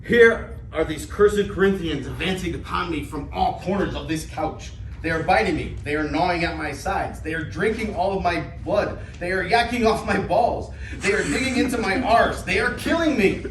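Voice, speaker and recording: A man's voice: sits high, moderate pace, few pauses, lightly acted. A man playing a woeful, bumbling old debtor turned pupil in a staged reading of an ancient comedy, lamenting theatrically. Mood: agonized. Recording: echoey room, distant mic, clear noise.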